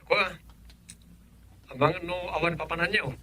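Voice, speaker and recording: A man's voice: a little high, normal speed, sharp tone. A man playing a businesslike village chairman and son-in-law in an Ilocano radio comedy, with a clipped manner and an Ilocano accent. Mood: brisk, businesslike.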